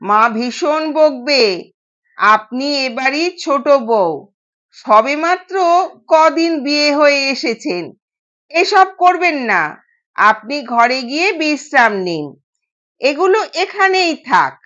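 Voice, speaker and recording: A woman's voice: high voice, animated, slow pace, long pauses, a bit bright, lo-fi sound, exaggerated voice. A woman voicing a timid, deferential older male household servant in an audio story, anxious and respectful.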